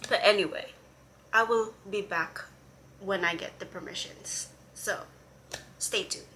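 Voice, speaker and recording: A woman's voice: medium pitch, a little slow, noisy background. A woman vlogger from Hawaii chatting casually about a comic she is reading, exasperated and rambling, with many fillers and restarts.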